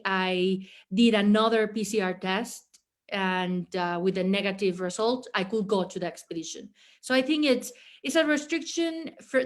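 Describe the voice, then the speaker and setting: A woman from Latin America, a mountaineer, answering interview questions in English with many fillers and restarts. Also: medium pitch. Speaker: mountaineer guest.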